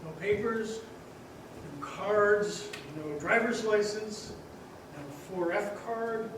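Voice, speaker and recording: A man playing a gentle, innocent, very old little angel in a stage radio play, earnest and patient. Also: sits high, takes it slowly. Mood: patient, solemn. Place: live stage in a large echoing hall. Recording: echoey hall from far off, noisy.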